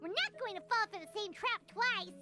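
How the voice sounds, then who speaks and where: An actress voicing an excitable, theatrical young woman with sweeping dramatic inflections, in a video game. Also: extremely high pitch, normal speed, over music. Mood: excited.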